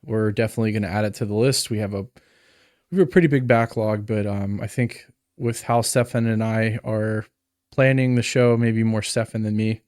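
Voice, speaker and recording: A man's voice: on the low side, fairly even intonation, moderate pace, darkish tone, smooth, no room sound, clean studio sound. A man co-hosting a podcast, chatting casually and rambling with plenty of fillers. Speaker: podcast co-host. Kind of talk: casual chat.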